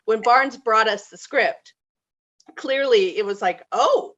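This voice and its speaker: A woman's voice: on the high side, takes it slowly, fairly bright tone, dull audio. A woman, a band member, talking casually as an interview guest. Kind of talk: casual chat.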